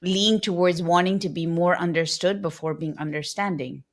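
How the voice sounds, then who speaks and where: Woman hosting a chat show, slightly low voice, asks loose rambling questions. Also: fairly even intonation, moderate pace. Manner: inquisitive, hedging, trails off. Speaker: podcast host. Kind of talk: casual interview.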